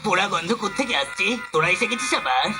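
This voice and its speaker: A man voicing a brash, boastful and excitable big-brother cat in a comic cartoon dub, with a dramatic, blustering manner. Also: high-pitched, quick pace. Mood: agitated. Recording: loud music under the voice.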